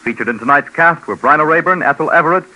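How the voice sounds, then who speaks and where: A man announcing formally on 1950s radio in a crisp, declamatory manner. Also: a little high, quick pace, bright, forceful tone, rough recording.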